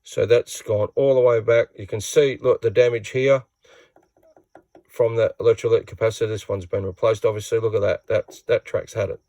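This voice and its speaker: Low, gravelly man. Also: steady tone, normal speed, mellow, no room sound. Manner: plain-spoken, matter-of-fact. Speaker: repair tutorial host. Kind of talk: casual hands-on repair tutorial.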